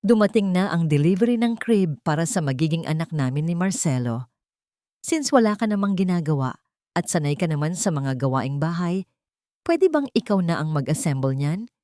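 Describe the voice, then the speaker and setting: A smug mistress in a romantic audio drama, played by a woman narrator, with a fairly low voice. She is bossy and falsely sweet, condescending as if ordering a maid about. The sound is dry. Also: very animated, normal speed, mellow, no echo. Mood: smug, taunting.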